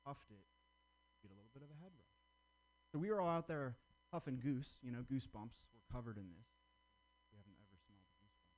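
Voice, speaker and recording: Male comic, mid-pitched voice, rambling crude anecdote. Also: expressive, fast talker, long pauses, a little mellow, poor audio. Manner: rambling storytelling. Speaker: stand-up comedian. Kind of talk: closing a stand-up set.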